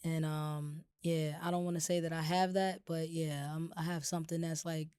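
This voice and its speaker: A woman co-hosting a podcast, a little raspy from a cold, talking casually and rambling with lots of fillers. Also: low-pitched, normal speed, dry recording, clean studio sound. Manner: relaxed, rambling with many fillers. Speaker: podcast co-host. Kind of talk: casual chat.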